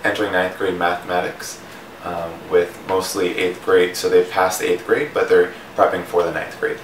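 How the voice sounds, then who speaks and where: Very deep-voiced young man, echoey room. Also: flat delivery, moderate pace. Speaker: teacher in training. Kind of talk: self-introduction to camera. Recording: echoey, distant mic, faint noise.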